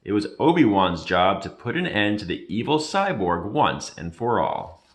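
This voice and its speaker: A man reading a children's story aloud with expressive, dramatic storytelling. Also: lowish voice, moderate pace. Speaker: reader.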